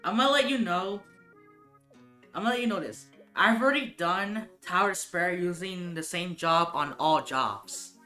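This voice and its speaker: Streamer type: bright, sharp tone, talks in loose rambling bursts with restarts, echoey room, faint game music behind. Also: medium pitch, moderate pace. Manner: rambling, thinks aloud, frequent restarts. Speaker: live streamer. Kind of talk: casual live-stream chat while gaming.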